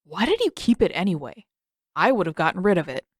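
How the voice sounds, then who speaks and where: A woman's voice: on the low side, very animated, fairly quick, breathy edge, no room sound, clean studio sound. A woman voicing a sarcastic, complaining teenage boy who grumbles through a story reading. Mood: needling.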